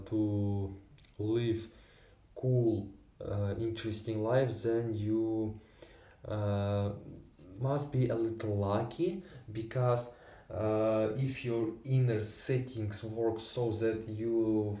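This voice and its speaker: Slow, hesitant man with a non-native English accent. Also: lowish voice, warm tone, reverberant, distant mic. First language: Tatar and Russian. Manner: halting, many fillers and restarts, non-native English. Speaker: vlogger. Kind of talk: unscripted personal monologue.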